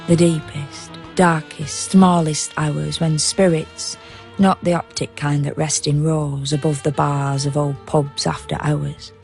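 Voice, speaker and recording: A woman playing a hushed, knowing narrator of the night in a poetic radio drama, with measured, lyrical delivery. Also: sits low, flat delivery, creaky, faint music behind, full character voice. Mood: hushed, eerie.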